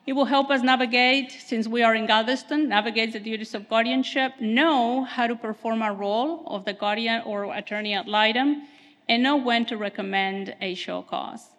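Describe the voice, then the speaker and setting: Soft-voiced woman lawyer giving a careful talk at a podium mic, long hall echo. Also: a little high, normal speed. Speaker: attorney giving a legal presentation. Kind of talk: conference presentation. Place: conference hall. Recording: reverberant hall.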